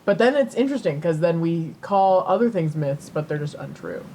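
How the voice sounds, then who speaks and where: Low-voiced woman chatting over rumbling noise. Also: lively intonation, normal speed, mellow. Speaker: podcast co-host. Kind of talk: casual unscripted chat.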